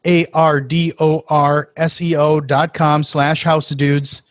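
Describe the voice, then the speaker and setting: A man hosting a podcast in casual chat, talking in quick restarts with filler words and eager reactions. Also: fairly high pitch, level tone, no echo, studio quality. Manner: friendly, stumbling restarts and "uh", enthusiastic reactions. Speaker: podcast host.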